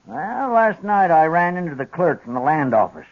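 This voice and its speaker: A man's voice: on the high side, sing-song, moderate pace. An American actor playing an older, plain-spoken, stubborn rancher father with a folksy drawl in a 1950s radio western. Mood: wary. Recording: muffled vintage radio recording.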